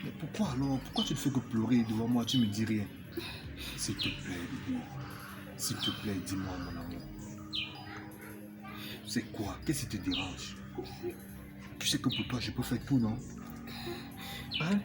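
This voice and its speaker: A man's voice: mid-range voice, brisk, over loud music, lightly acted. A young man acting in a French screen drama as a devoted, anxious boyfriend who pleads and repeats his questions. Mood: tender, worried. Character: devoted young boyfriend, anxious, tender but quick to outrage.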